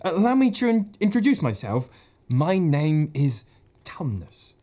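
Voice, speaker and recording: A man putting on a shy little faun, higher and louder than his everyday voice, tripping over his words with a courteous, anxious stammer. The tone stays dark and a bit husky, with lively rising inflections. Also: a little slow. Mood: flustered. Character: timid, stammering male faun, polite and nervous.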